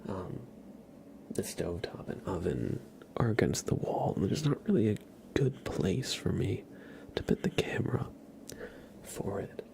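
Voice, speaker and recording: A man streaming ASMR, chatting casually to viewers in a soft, breathy whisper with a slightly stuffy nose. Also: low voice, big pitch swings, unhurried, pauses often. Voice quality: breathy whisper with a creaky edge. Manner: soft-spoken, rambling, casual.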